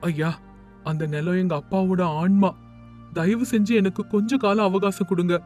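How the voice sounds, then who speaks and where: Casting: man to play earnest, thrifty village tailor for animated story; high-set, declamatory voice over light music. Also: normal speed, lightly acted. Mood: pleading. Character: earnest village tailor, devoted son turned strict miser. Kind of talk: animated moral story dialogue. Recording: music under the voice.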